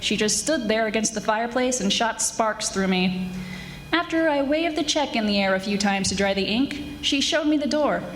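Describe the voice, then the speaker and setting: A woman playing a brash, wisecracking young private detective in a 1940s-style radio drama, sassy and quick-witted. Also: a little high, animated, slight background noise, lightly acted, poor audio. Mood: wry narrating. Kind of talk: 1940s radio detective acting, dialogue and narration. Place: live stage performance of a radio play.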